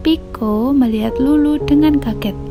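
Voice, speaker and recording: A woman's voice: sits high, normal speed, over music. A young adult woman narrating a children's story. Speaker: storyteller, university student.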